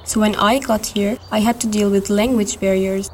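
A woman's voice: mid-range voice, fairly even intonation, normal speed, darkish tone, a little hiss or hum. An eighteen-year-old woman leading a conversation, speaking in a friendly, careful way. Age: 10s. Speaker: interviewer.

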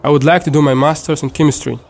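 A young man from Syria, speaking in an earnest, measured way as the one being asked questions. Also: fairly high pitch, fairly even intonation, vocal fry, a little mellow. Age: young adult. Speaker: interviewee.

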